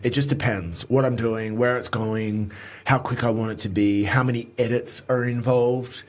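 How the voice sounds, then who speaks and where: A middle-aged man from Australia talking in a casual interview, blunt and emphatic, with a voice that can turn croaky and a little nasal. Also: medium pitch, steady tone. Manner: blunt, emphatic, lists things in quick runs. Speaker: makeup artist, guest.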